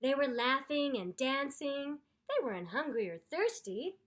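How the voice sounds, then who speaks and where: A woman reading a children's story aloud in an expressive, theatrical, deliberate way. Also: high voice. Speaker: storyteller, voice actor.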